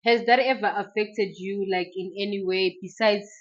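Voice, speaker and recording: A woman's voice: medium pitch, fairly even intonation, normal speed, dull audio. A woman hosting a casual interview, chatty and informal, with lots of "like" in her questions. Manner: chatty, informal, many "like" fillers. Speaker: host.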